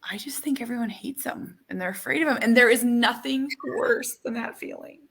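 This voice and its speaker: Bright-voiced candid woman host. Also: on the high side, vocal fry, husky. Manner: candid, emotional, halting restarts. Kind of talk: casual conversational interview.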